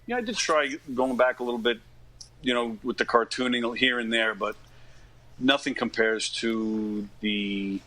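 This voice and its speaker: A man of about fifty from Long Island, New York, telling stories casually as an interview guest, in a halting, informal way that often doubles back. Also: mid-range voice, sharp tone. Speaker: interview guest, stage actor. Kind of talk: casual conversational storytelling.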